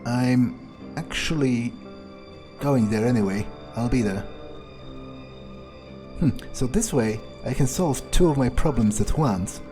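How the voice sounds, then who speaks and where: Man playing a mellow, deadpan, cynical vampire. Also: mid-range voice, slow pace, long pauses, faint music behind, natural voice. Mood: offhand. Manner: deadpan, sarcastic, offhand. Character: cynical, bored male vampire, casually murderous. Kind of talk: improvised in-character gaming playthrough.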